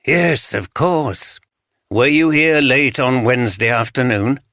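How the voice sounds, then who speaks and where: A man voicing a gentle veteran detective. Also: mid-range voice, dry recording, clean studio sound. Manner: gentle, reassuring, measured. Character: calm senior male detective, gentle and patient.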